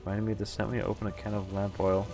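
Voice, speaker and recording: Male game streamer, lowish voice, reads story text with offhand reactions, light background music. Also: little pitch movement, very fast. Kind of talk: live visual novel playthrough, reading text aloud with casual reactions.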